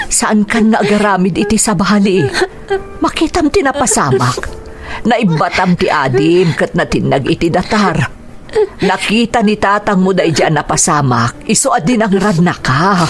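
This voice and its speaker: A woman with an Ilocano accent playing a caring, long-suffering mother and wife in a radio drama, concerned and gently scolding. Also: mid-range voice, lively intonation, normal speed, soft background music, close to an everyday voice. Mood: soothing, sorrowful. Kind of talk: Filipino radio drama acting.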